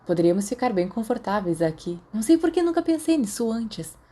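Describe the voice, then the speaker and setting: Woman voicing a cocky, playful teenage schoolboy, mellow. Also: fairly high pitch, sing-song, a little fast, pitched above the performer's own voice. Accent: Brazilian. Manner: teasing, haughty.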